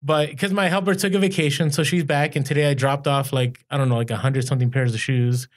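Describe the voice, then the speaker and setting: A man co-hosting a podcast in easygoing casual chat, animated and quick to laugh, with frequent "like" fillers. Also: mid-range voice. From California. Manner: animated, laughs easily, lots of "like". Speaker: podcast co-host.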